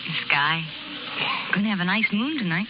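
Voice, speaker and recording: Woman playing a wistful, lively-pitched circus girl. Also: normal speed, close to an everyday voice. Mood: listless, wistful. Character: wistful, proud young woman circus bareback rider. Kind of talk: 1930s radio acting. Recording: muffled, hissy 1930s radio recording.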